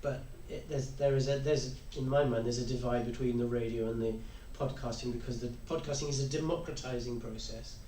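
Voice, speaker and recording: A man's voice: medium pitch, fairly even intonation, fairly quick, hardly any pauses, dark tone. Distant male panelist in a roomy space. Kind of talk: panel discussion, opinionated explaining. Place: live convention panel room. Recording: roomy, distant mic, faint noise.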